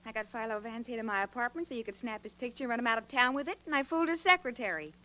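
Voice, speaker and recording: A streetwise moll in a vintage radio crime drama. She is sassy and full of herself, with a fairly high voice and slangy phrasing. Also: expressive, very fast, sharp tone, poor audio. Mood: smug. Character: cocky gangster's moll, vain but wary of murder. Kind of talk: 1940s radio acting.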